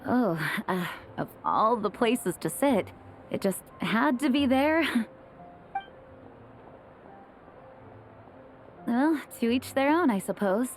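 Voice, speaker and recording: A woman voicing an earnest, courteous young leader in a video game, gentle and thoughtful in manner. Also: a little high, animated, unhurried, slight background noise. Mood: bemused. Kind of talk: video game character acting.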